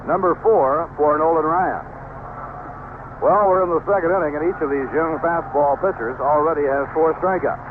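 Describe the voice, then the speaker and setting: A man's voice: fairly high pitch, moderate pace, narrow, tinny audio. A man with an American accent calling a baseball game play by play in live radio commentary. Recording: noisy, band-limited old radio broadcast.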